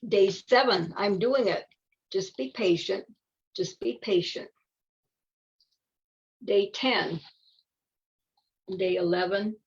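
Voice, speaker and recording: A woman's voice: mid-range voice, takes it slowly, gravelly, long pauses. An older woman voicing an impatient, boastful yet anxious young male caterpillar in a children's picture book, eager and exclamatory. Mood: self-coaching. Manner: eager, self-coaching, exclamatory. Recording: dull lo-fi audio.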